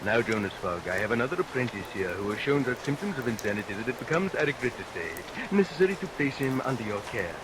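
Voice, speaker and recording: An actor playing a sly, gloating murderous barber with theatrical mock politeness in a vintage radio melodrama. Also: male voice, mid-range voice, animated, fast, few pauses, lightly acted. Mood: gloating, mock-innocent. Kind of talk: old-time radio melodrama acting. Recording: noisy vintage radio recording under rain sounds.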